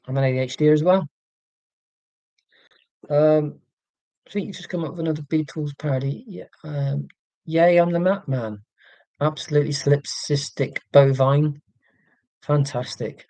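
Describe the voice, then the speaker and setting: A man live streaming from home and reading chat comments aloud, talking in a rambling, halting way with many ums and restarts and a voice he calls monotonous. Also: on the high side, no room sound, poor audio. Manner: self-described monotonous voice.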